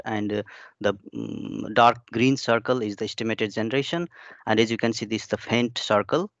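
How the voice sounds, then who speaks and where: A man's voice: on the low side, fairly bright tone, no echo. Hesitant Nepali-raised man presenting research. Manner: hesitant, many fillers and restarts. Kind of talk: webinar presentation. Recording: muffled dry video call audio.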